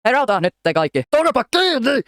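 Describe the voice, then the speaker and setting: A man's voice: very high voice, sing-song, fairly bright tone, dry recording, clean studio sound, voice pushed up, exaggerated voice. A man voicing bickering, bossy cartoon board-game players in an argumentative, blurting way for an amateur comedy fandub. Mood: quarrelsome.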